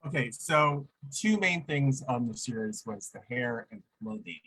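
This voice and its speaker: A man's voice: mid-range voice, animated, measured pace, bright, forceful tone, a little hiss or hum, low-quality recording. A man hosting an interview over a video call, asking questions hesitantly and restarting them often. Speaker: host.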